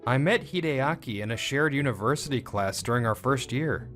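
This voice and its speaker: A mid-pitched young man's voice from an actor tells his own story as a comic dub lead. He sounds wounded and bitter, in scripted narration with music clearly playing underneath. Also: expressive, moderate pace, natural voice. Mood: reflective.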